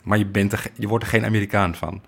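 A man's voice: lowish voice, steady tone, quick pace, vocal fry, breathy edge. A man interviewing in a casual conversational way, asking short probing questions with dry, wry remarks.

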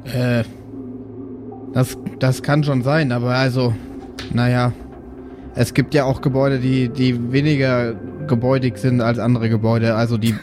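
Guy doing a worn out cautious dad, halting speech, mid voice, audio drama with music under it. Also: medium pitch, flat delivery, lightly acted. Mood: weary, hesitant. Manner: halting, hedging, stammering. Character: tired, cautious, hesitant father urging rest. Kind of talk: improvised audio drama role-play.

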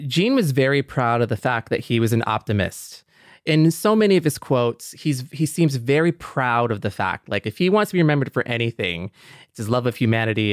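A man's voice: mid-range voice, smooth, no room sound. Man co-hosting a podcast, very dry sound. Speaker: podcast co-host.

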